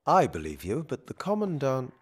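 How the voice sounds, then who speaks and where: Officious royal castellan for fantasy game: haughty, pompous, highly animated intonation. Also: male voice, moderate pace, breathy edge. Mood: curt, grudging. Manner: pompous, bureaucratic. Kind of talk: video game character acting.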